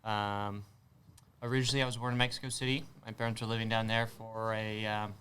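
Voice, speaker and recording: A man in his twenties with an American accent and a fairly low voice. He answers interview questions in a casual, hesitant way. There is noticeable noise behind him. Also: little pitch movement, fairly quick, sharp tone. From south Texas, United States. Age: 20s. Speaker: guest, spearfisher.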